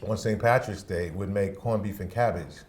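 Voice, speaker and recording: A middle-aged man from Brooklyn with a New York accent, telling stories in a relaxed chat and leaning on "you know" fillers. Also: lowish voice, normal speed. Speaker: music video show host and party promoter. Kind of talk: casual conversation. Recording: faint room ambience.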